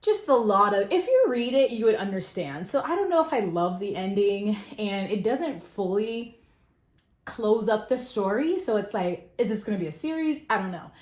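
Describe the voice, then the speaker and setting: A woman's voice: medium pitch, animated, moderate pace. A woman giving a casual, self-deprecating book review in an informal, conversational way. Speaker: book vlogger. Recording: roomy echo.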